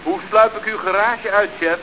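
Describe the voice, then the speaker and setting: A man's voice: high voice, steady tone, normal speed, pushed and bright. An actor playing a hapless, dutiful male underling, naive and literal, in a Dutch radio comedy from around 1970 with a Netherlands accent. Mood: puzzled, deferential. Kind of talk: 1970s radio comedy acting. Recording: dull, hissy old radio recording.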